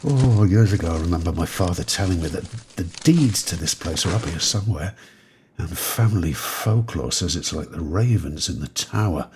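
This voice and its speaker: An actor playing a rattled, wry man in an audio drama, musing haltingly and swearing freely. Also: low-pitched, expressive, a little mellow, slight background noise. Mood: grumbling. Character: rattled man who has crossed between eras, wry, sweary. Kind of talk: modern audio drama monologue.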